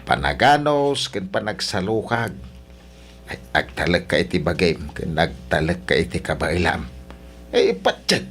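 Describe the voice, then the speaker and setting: A man's voice: low-pitched, sing-song, a little slow, a bit bright, slight background noise, exaggerated voice. A man with an Ilocano Filipino accent playing a kindly, pious old father-in-law in a radio drama, giving fatherly, encouraging counsel. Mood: encouraging, devout. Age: older.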